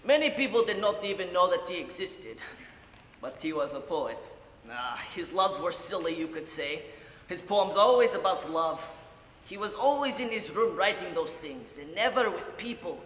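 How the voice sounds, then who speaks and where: An old grandfather, acted by a man, weighed down by guilt and drink, speaks in a high, bright voice. His is halting and broken, broken up by sighs. It is a stage monologue in a reverberant hall with some noise. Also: animated. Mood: fond reminiscing. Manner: halting broken English with sighs and murmured asides. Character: old Ukrainian grandfather, boozy, guilt-ridden, confessing to grandson. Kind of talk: competitive dramatic monologue. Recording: echoey hall, distant, audible room noise.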